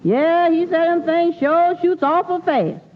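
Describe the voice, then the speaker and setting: A woman's voice: extremely high pitch, measured pace. An actress playing a naive, gossipy young woman with folksy, ungrammatical speech in a 1940s radio comedy. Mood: naive gossiping. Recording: muffled, hissy old radio recording.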